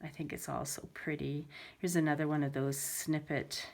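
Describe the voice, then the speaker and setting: A woman with a head cold and a stuffy voice, chatting casually as she shows off a handmade journal, with lots of soft fillers and restarts. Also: low-pitched, little pitch movement, normal speed, creaky. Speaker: crafter showing a handmade journal. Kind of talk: casual show-and-tell vlog.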